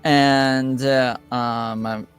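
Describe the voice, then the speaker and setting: Slow, halting man running a game. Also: mid-range voice, level tone, clean tone, soft background music. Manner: halting, hesitant delivery. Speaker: game master. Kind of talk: improvised narration and rules talk for a tabletop game.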